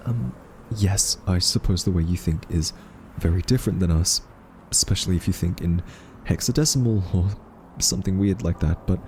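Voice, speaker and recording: Soft-spoken, mellow, earnest scientist played by a man. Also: fairly low pitch, vocal fry, slight background noise. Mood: earnest, hesitant. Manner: hesitant, thoughtful, argumentative. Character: quirky, earnest scientist, captive friend of an evil AI. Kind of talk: soft-spoken roleplay audio drama monologue.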